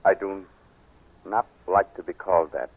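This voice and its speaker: Deep, solemn older surgeon. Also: male voice, steady tone, breathy edge. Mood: cool, ominous. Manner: measured, solemn. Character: grave older male surgeon, a guilty but principled murderer. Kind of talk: 1940s radio acting. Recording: muffled 1940s radio recording.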